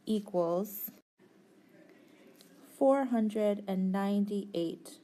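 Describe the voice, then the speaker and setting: A woman's voice: medium pitch, drawn-out words, mellow. A woman tutoring physics, explaining a worked problem step by step in a methodical, informal way.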